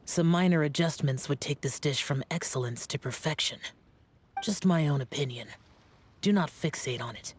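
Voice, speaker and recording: A man voicing a reserved, aloof immortal in an anime video game, measured, blunt and detached. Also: a little high, slight background noise, close to an everyday voice. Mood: cool, reserved. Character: reserved, aloof male immortal with a cool temper.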